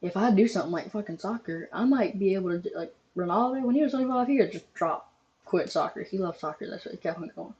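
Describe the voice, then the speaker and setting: A young adult host chats loosely over a video, rambling, filling gaps with ums and tossing in casual swears. The voice sits in a middle pitch. The recording sounds dull and lo-fi. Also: fast talker, darkish tone. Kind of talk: casual reaction commentary.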